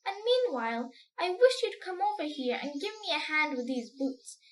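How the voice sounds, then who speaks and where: A woman's voice: sits high, big pitch swings, normal speed, fairly bright tone, recorded from a distance, low-quality recording. A woman voicing a hearty, demanding giant male centipede in a storybook, emphatic and chummy. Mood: cheery, bossy.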